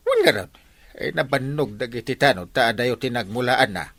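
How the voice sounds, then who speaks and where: A performer acting an excitable, exasperated village captain in a comedy radio drama, full of exclamations. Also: mid-range voice, a little fast, dry recording. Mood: agitated.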